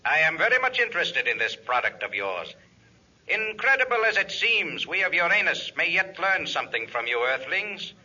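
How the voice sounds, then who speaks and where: Sharp-voiced, imperious spaceman. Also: a little high, sing-song, normal speed, heavily acted. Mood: haughty. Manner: commanding, stilted formal speech. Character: imperious arrogant male visitor from outer space. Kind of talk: 1950s radio adventure serial acting. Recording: dull, raspy 1950s radio recording.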